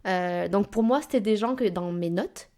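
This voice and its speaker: A woman co-hosting a book podcast in casual chat, thinking aloud and pausing on fillers as she speaks. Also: mid-range voice, dark tone. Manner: thoughtful, hesitant with many fillers. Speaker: podcast co-host. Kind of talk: casual chat about books.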